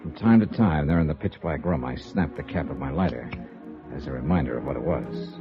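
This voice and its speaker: An American man playing a brisk, wry hard-boiled insurance investigator in 1950s radio noir style, clipped and dry. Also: very deep voice, animated. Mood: tense, narrating. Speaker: lead actor and narrator. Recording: dull old radio recording, faint music.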